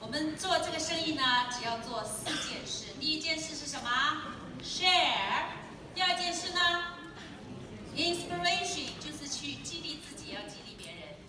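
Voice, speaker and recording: A woman emcees a stage event in a lively manner and drops in English words as she goes. Her voice is high. The audio is distant, with heavy hall reverb and noise. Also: moderate pace. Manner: lively, mixes in English words, laughs easily. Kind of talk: stage hosting. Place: large convention hall. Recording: echoey hall taped from far off, noisy.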